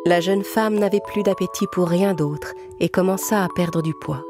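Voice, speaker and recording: Low-pitched woman narrating a fairy tale. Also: normal speed. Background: music.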